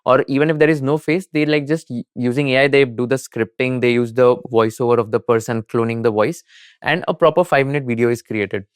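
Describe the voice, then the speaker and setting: A man's voice: medium pitch, fairly even intonation, clean tone, dry recording, studio quality. A man hosting a podcast, talking casually and leaning on "like" as he builds his point. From India. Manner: quick, filler-heavy, many "like"s. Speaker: podcast host. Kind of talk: casual interview chat.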